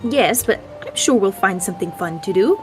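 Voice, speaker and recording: A woman's voice: medium pitch, animated, moderate pace. A woman playing a tabletop role-playing game, switching casually between describing and speaking in character. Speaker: player. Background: background music.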